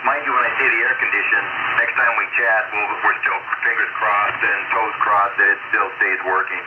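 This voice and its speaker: A man chatting casually as an amateur radio operator, in a rambling, halting way, heard over a shortwave radio transmission. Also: fairly low pitch, flat delivery. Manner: rambling, halting, self-interrupting. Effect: shortwave ham radio transmission. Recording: very noisy, muffled shortwave radio signal, static. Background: loud radio static.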